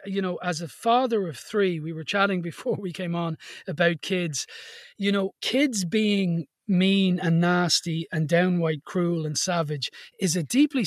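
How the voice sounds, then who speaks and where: A man's voice: sits high, no echo. A man hosting a radio film show, interviewing guests and presenting in an enthusiastic, chatty way from a studio. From Ireland. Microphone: studio mic.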